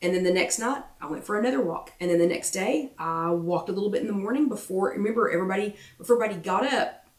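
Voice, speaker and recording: Chatty woman with a croaky voice. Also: mid-range voice, fast, far from the mic. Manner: chatty, repeats herself for emphasis, many ums. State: voice getting croaky. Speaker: wellness workshop host. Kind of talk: casual motivational chat to viewers. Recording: roomy echo, away from the mic.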